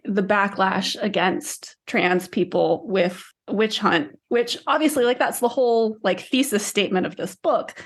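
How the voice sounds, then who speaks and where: A woman in her thirties co-hosting a podcast, talking casually through a book discussion. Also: mid-range voice. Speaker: podcast co-host.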